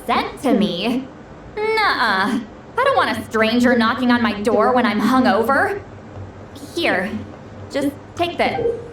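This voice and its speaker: Proud, grumpy, expressive shaman. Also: a little high, takes it slowly, pauses often, full character voice. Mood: weary, bossy. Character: proud, grumpy, reclusive shaman woman, secretly kind. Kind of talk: video game character acting. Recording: roomy echo, music behind.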